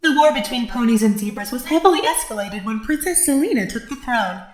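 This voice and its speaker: An echoing, fairly high female voice an actress playing a facility overseer. She is coolly detached, formal and clipped, showing no emotion in this audio drama. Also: animated, fairly quick, lightly acted. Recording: echoing, roomy voice.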